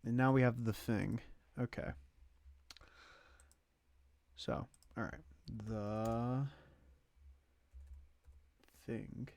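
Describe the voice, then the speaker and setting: A man giving casual livestream commentary as host, thinking aloud in a halting way as he ranks things. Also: medium pitch, steady tone, gravelly, a little mellow, no room sound. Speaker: streamer hosting a movie tier list.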